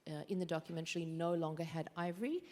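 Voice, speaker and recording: A woman's voice: lowish voice, fairly even intonation, fast, mellow. A woman speaking on a conference panel, telling earnest stories about her documentary campaign with some fillers. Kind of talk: panel discussion answer. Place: conference stage.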